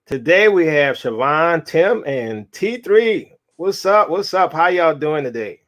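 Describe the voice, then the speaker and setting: Interview host, man. Casual and easygoing, slightly high voice. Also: a little slow. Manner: relaxed, laughing, casual.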